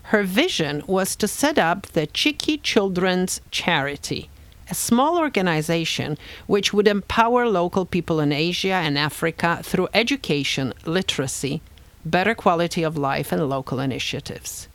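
A woman hosting an interview, reading a measured introduction and then asking questions. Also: lowish voice, expressive, fairly bright tone, dry recording. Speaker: interview host.

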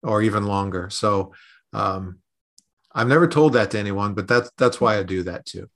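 Measured man in a podcast interview. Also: lowish voice, a bit bright. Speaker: podcast host being interviewed as a consultant.

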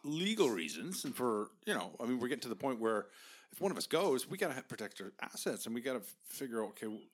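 A man in his late fifties, a podcast co-host in casual chat, lively and quick, often trailing off softly. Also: mid-range voice. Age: 50s.

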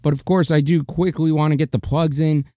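Candid, rambling man with a smooth voice. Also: fairly high pitch, steady tone, fairly quick, mellow, dry recording, clean studio sound. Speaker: podcast host. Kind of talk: casual solo podcast monologue.

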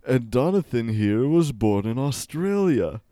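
A man bantering in deadpan, mock-serious comic style as a guest. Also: medium pitch, a little slow, no room sound, studio quality. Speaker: comedy musician guest. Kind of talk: absurd comedic banter.

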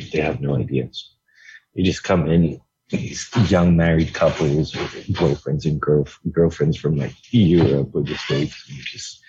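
A middle-aged man from Hong Kong, a guest telling his story in a relaxed, rambling way with many fillers. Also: extremely low pitch, highs cut off, slight background noise. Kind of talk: casual interview talk.

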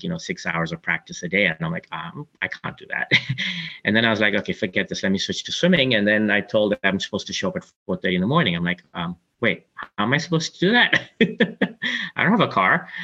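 A man's voice: lowish voice, sharp tone, muffled recording. A man in his forties from Kenya, answering interview questions in a reflective, chatty way with many fillers. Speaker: interview guest.

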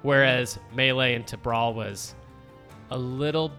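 A man hosting a casual podcast chat about video games, animated and quick with jokes and laughs. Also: mid-range voice, faint music behind. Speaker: podcast host.

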